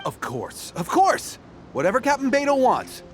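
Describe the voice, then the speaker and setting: A man's voice: extremely high pitch, wildly swooping pitch, normal speed, a little hiss or hum, heavily acted. A man voicing a blustering, fawning bandit boss in a video game, oily and theatrical, with music behind him. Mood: fawning, nervous. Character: blustering, fawning male treasure-hoarder gang boss.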